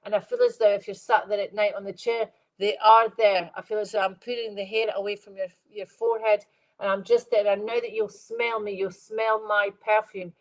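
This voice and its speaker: A middle-aged woman medium giving a live-stream spirit reading. She sounds stuffed up and speaks in a gentle, rambling way, repeating phrases and addressing viewers by name. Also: medium pitch, flat delivery, brisk, rough recording. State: congested, stuffed-up nose.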